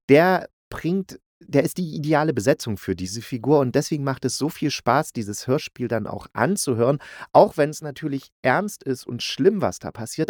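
A man giving a casual spoken review as a podcast host, conversational and colloquial, with clear opinions. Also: fairly high pitch, a little mellow, no room sound, clean studio sound.

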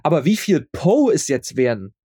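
Man chatting in a rambling way, higher-pitched. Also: big pitch swings, moderate pace, dark tone, slightly rough, no room sound, studio quality. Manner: rambling, repeats words and phrases. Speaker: interview guest, audio drama maker. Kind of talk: casual interview chat.

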